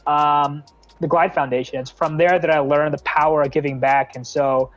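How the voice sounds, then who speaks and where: A young American man speaks earnestly in a guest interview, his talk full of fillers and restarts. His voice sits a little high. The sound is dull and lo-fi, like a video call. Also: steady tone, normal speed, fairly bright tone. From San Francisco Bay Area. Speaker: nonprofit founder, guest.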